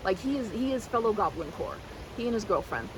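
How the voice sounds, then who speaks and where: A woman's voice: medium pitch, expressive, brisk, background noise. A woman rambling casually and animatedly like a chatty best friend, slipping into mimicked quotes in a roleplay.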